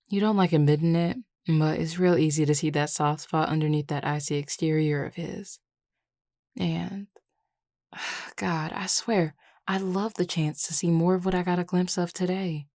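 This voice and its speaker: A woman's voice: low voice, darkish tone, dry recording, studio quality. A woman voicing a flirty, affectionate Southern werewolf in an intimate audio roleplay, with a casual, teasing Southern US drawl. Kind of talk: intimate audio roleplay, one-sided conversation.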